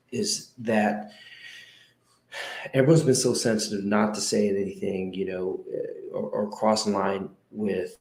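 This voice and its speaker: Male interview host, low voice, earnest and halting, in an echoey room. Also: a little mellow. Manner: earnest, halting with many fillers. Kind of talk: conversational interview.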